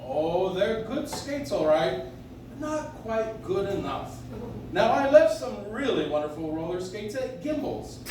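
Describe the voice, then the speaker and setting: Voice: medium pitch, big pitch swings, unhurried, mellow. A performer playing a kindly old male Santa Claus in an amateur live radio play, speaking genially and reassuringly. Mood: jolly, reassuring. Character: kindly old department store Santa Claus, jolly man. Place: coffee house stage, recorded from the audience. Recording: distant audience recording, very echoey, noisy.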